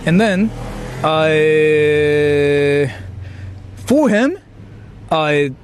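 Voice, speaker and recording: A man's voice: fairly high pitch, expressive, extremely slow, slight background noise. A man livestreaming a casual rant, incredulous and exclamatory, swearing often as he reacts to criticism. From Japan. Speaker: livestreamer and YouTuber.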